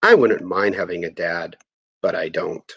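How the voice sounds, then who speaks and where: A man reading a first-person story aloud in an expressive, conversational way. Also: deep voice, unhurried, gravelly, breathy edge, no echo, muffled recording. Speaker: audiobook reader.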